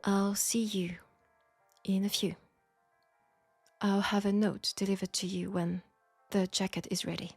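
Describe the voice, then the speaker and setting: A woman with a French accent playing a frank, perceptive seamstress in an intimate steampunk audio drama, speaking softly and deliberately with pauses. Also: mid-range voice, level tone, no room sound, faint music behind. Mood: politely composed. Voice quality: soft, breathy. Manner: hushed, deliberate, with pauses and breathy sighs. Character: frank, perceptive young Parisian seamstress, gently reproachful. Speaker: audio roleplay performer.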